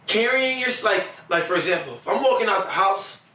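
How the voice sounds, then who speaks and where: A young man with a raspy, hoarse voice, chatting casually as a podcast co-host and leaning on "you know.". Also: high voice, animated, brisk, pushed and bright. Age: young adult. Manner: laid-back, repeats "you know". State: hoarse after the holidays. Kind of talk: casual chat. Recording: roomy, distant mic, faint noise.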